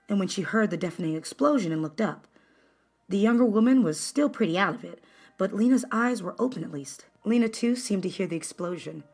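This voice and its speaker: A woman's voice: fairly low pitch, husky. A woman reading scripted fan fiction as a dramatic narrator. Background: background music.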